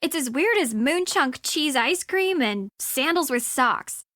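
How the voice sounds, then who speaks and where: A sardonic young sidekick in a video game. Her voice is on the high side, casual and teasing, with a sceptical edge. It is recorded very dry. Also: lively intonation, measured pace, sharp tone, no echo, full character voice. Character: sardonic, sceptical young woman, detective's wisecracking friend.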